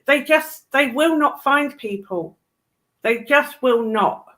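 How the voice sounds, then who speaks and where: A woman talks in a casual discussion, explaining things point by point. Her voice sits a little high and her pace is unhurried and slow. She is emphatic, restating key phrases for stress. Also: fairly bright tone.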